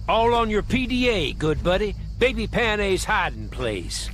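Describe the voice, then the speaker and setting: Game mentor: jovial American agent, middle-aged, folksy, high-set voice, American accent. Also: male voice, big pitch swings, unhurried, a bit bright, heavily acted. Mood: briefing, confident. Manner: folksy, breezy. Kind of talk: video game cutscene acting.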